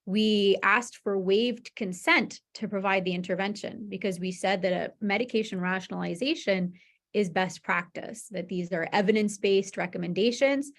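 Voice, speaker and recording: A woman presents research in a webinar at a medium pitch. Her voice has noticeable vocal fry. She explains things informally, checking in with listeners as she goes. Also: normal speed. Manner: informal explaining with frequent "you know" and "right?" check-ins. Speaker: medical researcher presenting a study.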